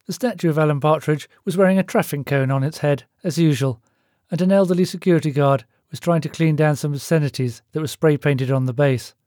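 Mellow male storyteller, very dry sound. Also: fairly high pitch, brisk, dry recording. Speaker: audiobook narrator. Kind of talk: scripted fiction narration.

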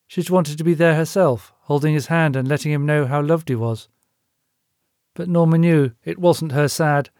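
A man's voice: fairly high pitch, darkish tone, no echo, clean studio sound. A man reading scripted fiction as an audiobook narrator.

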